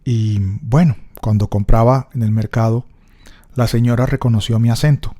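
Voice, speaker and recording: A middle-aged Colombian man with a Colombian Spanish accent, telling a personal story in a thoughtful, unhurried reflective monologue. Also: mid-range voice, fairly even intonation, warm tone. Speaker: speaker recording a personal reflection.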